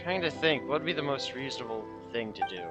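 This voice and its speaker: A man's voice: mid-range voice, animated, fast. A man giving casual gameplay commentary, thinking aloud in loose, musing fragments. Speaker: game streamer. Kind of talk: casual commentary while playing. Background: game music.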